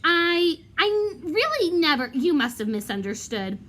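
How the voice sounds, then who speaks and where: A woman's voice: very high voice, big pitch swings, unhurried, fairly bright tone, pitched above the performer's own voice, full character voice. A woman voicing a vain, cowardly male professor in a storybook, stammering and evasive. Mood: flustered.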